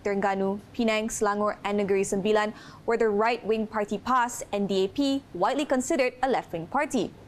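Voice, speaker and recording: A woman's voice: a little high, a little hiss or hum. A woman narrating a scripted news report as a reporter.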